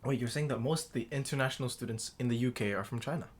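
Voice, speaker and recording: A young man from Macau hosting a casual podcast interview, asking quick follow-up questions in a teasing, curious way. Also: medium pitch. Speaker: podcast host.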